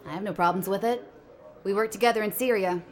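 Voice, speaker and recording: A woman's voice: mid-range voice, fast, natural voice. A woman playing a cool, confident field agent in a scripted audio drama. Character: cool, self-assured female field agent.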